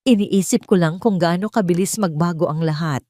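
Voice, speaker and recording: A woman voicing a calm, tender, resolute heiress, speaking softly and earnestly in an audio drama. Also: lowish voice, a little fast, dry recording, clean studio sound, natural voice. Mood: soft, reflective. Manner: soft-spoken, earnest.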